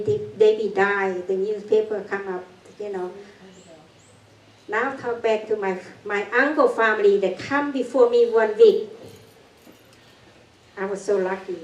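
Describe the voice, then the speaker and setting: An older woman from Cambodia with a Cambodian accent, giving a personal testimony talk in halting, broken English with nervous laughs. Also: medium pitch, darkish tone. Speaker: guest speaker. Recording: echoey room, far from mic.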